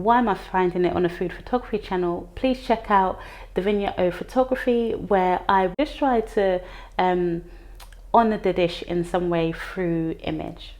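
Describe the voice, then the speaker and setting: An English woman hosts a relaxed interview about food with an upbeat, friendly manner. She laughs easily and talks over a video call. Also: mid-range voice. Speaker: host and food photographer.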